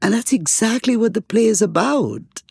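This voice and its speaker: A woman with a creaky voice, narrating as a podcast host in a scripted but conversational way. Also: fairly high pitch, expressive, moderate pace, darkish tone, dry recording, studio quality.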